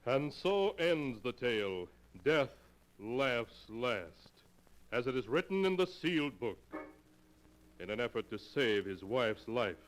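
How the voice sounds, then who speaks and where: A male narrator in the style of 1940s radio. He delivers scripted storytelling in a mid-pitched voice, measured and ominous, with theatrical weight. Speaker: narrator and announcer.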